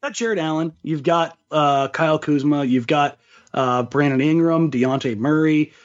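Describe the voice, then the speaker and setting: A man's voice: on the high side, measured pace. A man co-hosting a sports podcast, talking casually and giving his opinions in long, thinking-aloud explanations. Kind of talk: casual sports talk and analysis. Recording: slightly dull, lo-fi sound.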